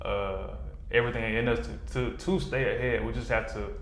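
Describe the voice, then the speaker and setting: A man's voice: on the low side, fairly even intonation, a little fast, a little hiss or hum. A man speaking casually as an interview guest, in a conversational way that often checks the listener is following. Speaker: filmmaker and actor, podcast guest. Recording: roomy, echoing room sound.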